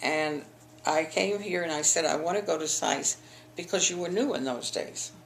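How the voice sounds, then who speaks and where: A woman's voice: sits low, normal speed, vocal fry, slight background noise, poor audio. An older woman from the eastern United States, telling her school memories in an oral history interview, rambling with frequent restarts. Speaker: interviewee, alumna.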